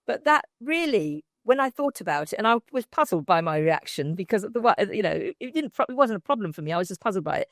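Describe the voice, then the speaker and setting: A woman's voice: mid-range voice, big pitch swings, quick pace, few pauses, no room sound, clean studio sound. An older woman with a British accent, arguing her case as a debate panellist in an emphatic, discursive way. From Britain. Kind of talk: informal debate argument.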